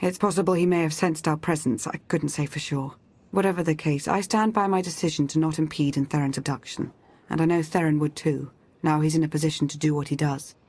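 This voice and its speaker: A stern intelligence agent in a video game. Her husky voice is controlled and formal, with measured delivery that stays composed. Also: deep voice, steady tone, a little mellow. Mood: controlled, firm.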